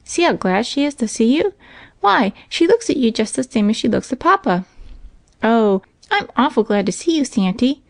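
An excited little boy from a storybook acted out by a woman. He speaks high and eagerly, bold and full of trust. The sound is dry and close. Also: normal speed, vocal fry, no room sound. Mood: delighted. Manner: eager, wide-eyed. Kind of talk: storybook character voice.

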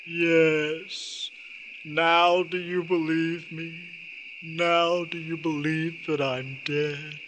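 Casting: male actor as eerie, courteous walking corpse, slow solemn delivery, mid-pitched, horror-comedy radio play, noisy recording with vehicle rumble. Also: level tone, fairly bright tone. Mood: ominous. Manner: formal, solemn, measured. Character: courteous, eerie undead male crash victim carrying his severed head. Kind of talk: comic horror audio drama acting.

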